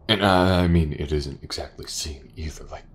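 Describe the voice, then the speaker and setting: A man playing a gentle, reassuring detective in an intimate audio roleplay, speaking softly and hesitantly, often near a whisper. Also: a bass voice, normal speed, a little hiss or hum. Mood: hesitant, tender. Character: gentle, reassuring male detective with an injured eye.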